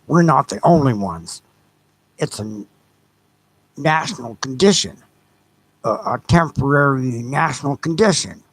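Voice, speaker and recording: A man's voice: mid-range voice, expressive, a little slow, long pauses. A man voicing a dismissive, evasive railroad company president, speaking with defensive, hesitant bluster in an audio play.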